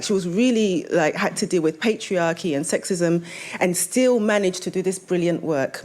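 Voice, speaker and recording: A woman's voice: on the low side, a little mellow. A woman novelist talking about her own work as a panelist, in a conversational way that draws the audience in with questions.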